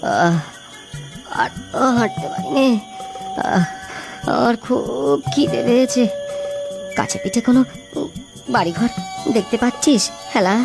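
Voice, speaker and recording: A woman voicing a humble blind outcast in a children's folktale cartoon, halting and pleading, repeating words. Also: a little high, expressive, vocal fry, low-quality recording. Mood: weary. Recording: music under the voice.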